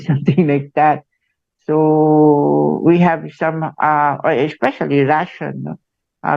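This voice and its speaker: A Filipino man hosting a radio interview, talking haltingly with many fillers and a tag "no?" after his phrases. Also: fairly high pitch, gravelly, no echo. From Philippines. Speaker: radio show host. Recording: dull lo-fi radio sound.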